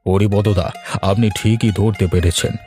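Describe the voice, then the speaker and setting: A man's voice: lowish voice, fairly even intonation, moderate pace, mellow, close to an everyday voice. A man voicing a dignified, generous old landlord in a cartoon, formal and courteous. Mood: gracious. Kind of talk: animated cartoon voice acting. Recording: faint music behind the voice.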